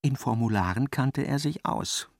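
An actor narrating a literary radio play, an expressive and ironic storyteller. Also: male voice, medium pitch, normal speed, creaky, no echo, clean studio sound. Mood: wry narration. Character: wry literary narrator of a novel, male.

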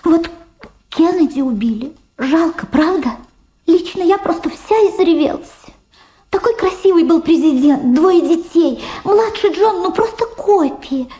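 Radio drama: vulgar, effusive middle-aged showgirl, high-voiced and very animated, rambling and repeating herself. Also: a little slow. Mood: eager, chatty. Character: gushing, vulgar, faded American showgirl reunited with her daughter. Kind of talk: Soviet radio drama acting.

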